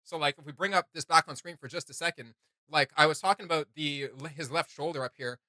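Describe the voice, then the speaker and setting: Male reaction host, slightly high and very bright voice, enthusiastic, rambling casual delivery. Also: fast talker, no room sound. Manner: enthusiastic, rambling, thinking aloud.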